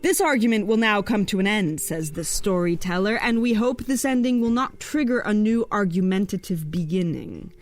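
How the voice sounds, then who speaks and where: A woman narrating a poetic spoken-word story in a slow, incantatory, drawn-out way. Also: medium pitch, expressive. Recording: faint instrumental music underneath.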